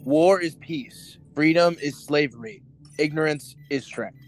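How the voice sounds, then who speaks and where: An actor playing an anxious, obedient party worker with hidden doubts in a radio drama, earnest and dutiful. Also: male voice, on the high side, unhurried, soft background music, natural voice, low-quality recording. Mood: dutiful, nervous.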